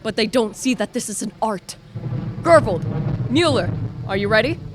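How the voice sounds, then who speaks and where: A woman's voice: on the high side, expressive, normal speed, noisy background, close to an everyday voice. A woman playing an obsessive, ambitious young male science student, narrating earnestly and formally in a radio play. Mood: urgent, exultant.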